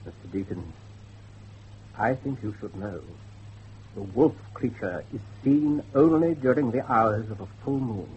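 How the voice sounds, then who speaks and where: An actor playing an earnest, excitable scholar of werewolves, professorial and halting, with a husky voice in a horror drama. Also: male voice, fairly low pitch, muffled recording, a little hiss or hum. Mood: intrigued, grave. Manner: professorial, hesitant, halting emphasis. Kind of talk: dramatic horror dialogue acting.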